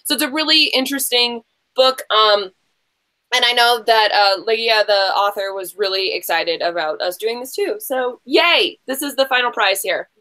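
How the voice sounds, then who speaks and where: A woman's voice: fairly high pitch, normal speed, bright, forceful tone. A woman hosting a casual livestream game show in a chatty, gushing way, rambling and interrupting herself with slangy asides.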